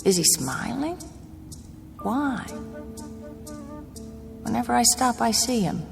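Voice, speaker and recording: A woman's voice: on the high side, animated, slow pace, gravelly, over music, full character voice. An actress playing an anxious, haunted young woman traveling alone in a radio drama, speaking in a hesitant, polite, uneasy way. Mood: haunted, brooding.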